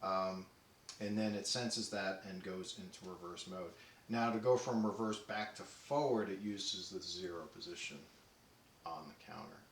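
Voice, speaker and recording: A man casually demonstrating a tape deck, talking in a relaxed way with many ums and restarts. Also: low voice, unhurried, slight background noise. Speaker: audio equipment reviewer. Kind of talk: casual product demo. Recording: roomy echo with faint music.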